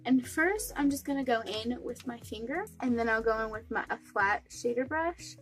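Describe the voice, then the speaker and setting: A woman's voice: on the high side, moderate pace, faint music behind, low-quality recording. A young woman with a cold and a stuffy nose, chatting through a makeup tutorial step by step. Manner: chatty, friendly step-by-step explaining. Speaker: beauty vlogger.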